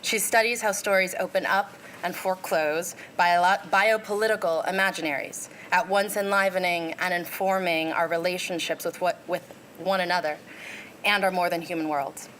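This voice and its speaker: A woman with a somewhat low voice reads a prepared introduction of a guest speaker. Her delivery is smooth and formal. Faint room noise sits behind her. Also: steady tone, normal speed, a bit bright, low-quality recording. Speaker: postdoctoral researcher introducing the speaker. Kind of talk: read introduction. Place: lecture room.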